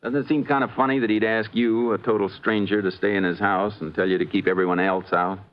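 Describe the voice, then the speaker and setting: An actor playing a calm, methodical lawman with a measured, probing manner in a vintage radio drama. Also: on the low side, highs cut off, lightly acted. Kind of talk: 1950s radio acting. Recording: hissy vintage radio recording.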